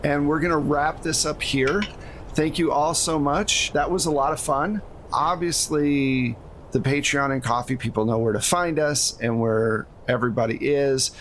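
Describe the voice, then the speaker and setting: A man's voice: on the high side, steady tone, moderate pace, a bit bright. A man hosting a tabletop roleplaying game as game master, talking casually and explaining things in a conversational way. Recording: noticeable background noise.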